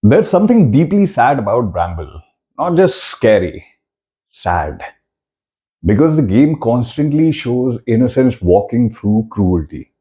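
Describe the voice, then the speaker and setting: Dramatic Indian male narrator, widely swinging pitch. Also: mellow. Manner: deliberate, emphatic phrasing with dramatic short pauses; code-switches between two languages. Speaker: video essay narrator. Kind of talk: dramatic scripted video essay narration.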